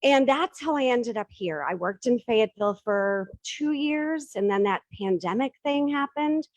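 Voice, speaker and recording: A woman's voice: a little high, animated, normal speed, a little mellow, dry recording. A middle-aged woman giving a casual, self-deprecating talk to a live audience, tossing questions to the room. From Boston area. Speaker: startup pitch presenter.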